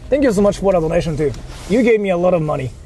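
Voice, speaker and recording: Lively high-pitched Japanese-accented male streamer. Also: racing. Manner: repeats himself, slangy. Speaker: livestreamer. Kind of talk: casual livestream chat. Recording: faint vehicle noise on live stream.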